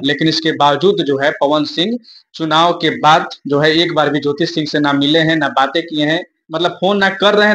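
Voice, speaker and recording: A man's voice: fairly high pitch, a bit bright, a little hiss or hum. A young man giving informal news commentary to viewers in an emphatic, repetitive explaining manner. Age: young adult.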